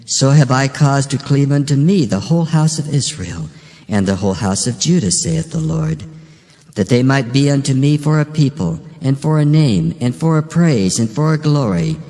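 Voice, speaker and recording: A man's voice: medium pitch, darkish tone, natural voice. A man playing a solemn, commanding God who declaims judgment in a dramatized scripture reading. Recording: long hall reverb, faint music bed.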